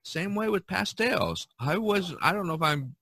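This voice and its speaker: A man in his early sixties hosting a casual, rambling podcast chat, halting and often repeating words. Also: sits high, lively intonation, sharp tone. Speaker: podcast host.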